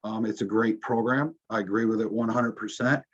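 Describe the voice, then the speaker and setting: A man's voice: lowish voice, fairly even intonation, brisk, breathy edge. A man, a police officer presenting slides to a community audience, speaking informally with many ums and restarts. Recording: dull, muffled recording.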